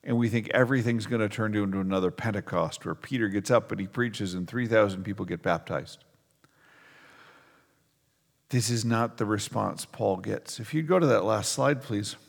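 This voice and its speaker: A middle-aged male pastor speaks to his congregation in a conversational sermon, pressing on key words. His voice carries a gravelly edge. The sound rings with the long reverb of a church hall. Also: fairly low pitch, normal speed. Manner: conversational preaching with emphatic stresses.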